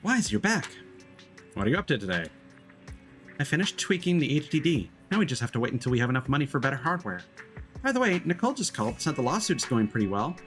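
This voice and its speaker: A man's voice: medium pitch, very animated, fast talker, a bit bright, faint music behind. A man voicing a friendly, caring young woman in a video game story. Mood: friendly, casual.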